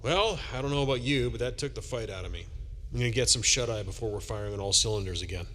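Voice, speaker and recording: An actor playing a tired, caring spaceship navigator, talking casually in a sci-fi audio drama. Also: male voice, medium pitch, animated, fast talker, a bit bright, close to an everyday voice. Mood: weary, kindly. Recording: roomy hall reverb, faint noise.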